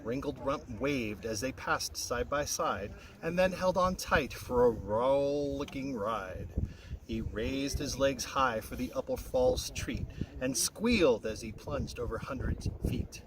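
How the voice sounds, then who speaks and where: Expressive man reading a story, very noisy recording. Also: mid-range voice, takes it slowly. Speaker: storybook reader. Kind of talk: read-aloud of a rhyming children's picture story. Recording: very noisy, windy, distant-mic recording.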